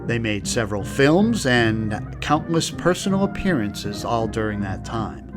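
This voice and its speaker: A man's voice: medium pitch, sing-song, unhurried. A man hosting a radio history program with scripted documentary narration. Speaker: host. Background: music.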